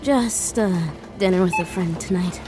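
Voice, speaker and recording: A woman's voice: fairly low pitch, moderate pace, over music, close to an everyday voice. A woman playing an uneasy, grieving shopper in an audio drama, withdrawn and hesitant. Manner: hesitant, reluctant, terse answers.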